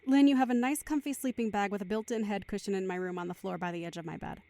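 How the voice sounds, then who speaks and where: A woman plays a caring mother in an audio drama, weary yet attentive. Her voice sits at an ordinary middle pitch, and she speaks with practical, motherly care. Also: fairly even intonation, quick pace, mellow, slight background noise, lightly acted. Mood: gentle, reassuring. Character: caring, tired mother hosting a sick young guest.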